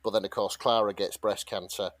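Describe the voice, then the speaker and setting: A man's voice: lowish voice, steady tone, brisk, no room sound. A British man co-hosting a podcast, chatting casually and retelling fanfiction in a hesitant, rambling way. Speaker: podcast co-host.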